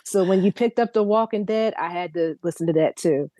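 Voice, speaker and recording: A woman from Philadelphia chatting as a guest host on a fan podcast, telling stories in a lively, laughing way. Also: on the low side, a little fast, no room sound. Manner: lively, laughing, animated storytelling. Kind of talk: casual podcast chat.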